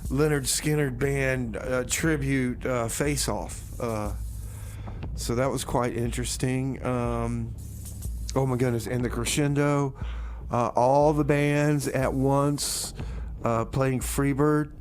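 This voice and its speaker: Male community radio host, medium pitch, casual and folksy, halting rambles, faint background noise. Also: fairly even intonation, a little mellow. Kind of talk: casual rambling music-show DJ talk.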